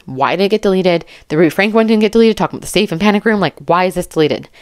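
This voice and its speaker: Animated woman in casual, dry close commentary. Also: medium pitch, rapid-fire, clear, resonant tone, no echo. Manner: animated, emphatic, informal, rambling. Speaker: true crime commentary YouTuber. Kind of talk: casual, chatty commentary to camera.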